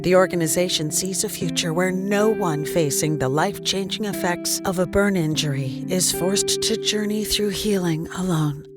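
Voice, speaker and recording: A woman's voice: lowish voice, normal speed, hardly any pauses, over loud music. A woman announcer reading a scripted charity announcement.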